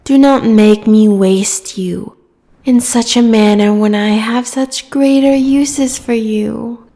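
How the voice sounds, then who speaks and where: Woman acting a vengeful, very slow-speaking giant sea goddess. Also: fairly high pitch, mellow. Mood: threatening. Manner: slow, haughty, theatrical, breathy whispered phrase endings. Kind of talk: scripted fantasy audio roleplay monologue. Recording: long cavernous reverb.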